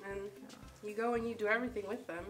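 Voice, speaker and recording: A woman of twenty-eight talking in a slow, hesitant way as a panel guest. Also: mid-range voice, fairly even intonation, recorded from a distance, heavy background noise. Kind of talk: casual testimony. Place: small wood-paneled studio room.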